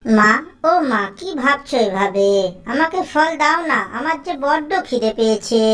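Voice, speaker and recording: A woman voicing a stubborn, hungry little girl bird, whining and pleading in an animated cartoon. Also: high-pitched, normal speed. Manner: whiny, pleading.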